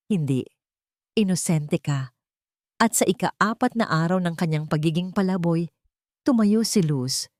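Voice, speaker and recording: A Filipino woman narrating a dramatic story as an audiobook, in a measured storytelling manner. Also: on the low side, darkish tone, no echo, clean studio sound.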